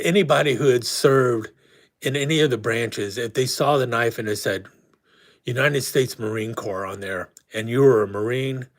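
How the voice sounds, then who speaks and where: A man hosting a casual live stream who is losing his voice, talking in a rambling way with many restarts. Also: mid-range voice. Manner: rambling, frequent restarts and fillers. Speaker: host.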